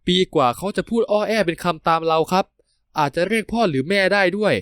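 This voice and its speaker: A man's voice: high-pitched, animated, fast talker, fairly bright tone, no echo, pitched above the performer's own voice. A man voicing a small, polite young single father who speaks meekly and gets easily flustered.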